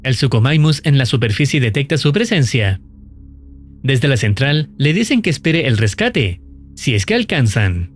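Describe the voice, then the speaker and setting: Animated male narrator over music. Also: mid-range voice, normal speed. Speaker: narrator of a horror video channel. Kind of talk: scripted narration, recap and commentary.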